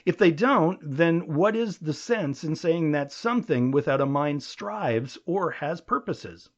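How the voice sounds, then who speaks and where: A man with a slightly high voice reads a reasoned essay as an audiobook narrator. His delivery is measured and emphatic, with very lively rises and falls in pitch to drive each rhetorical point. The recording is somewhat muffled and lo-fi. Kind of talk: scripted audiobook reading of an essay.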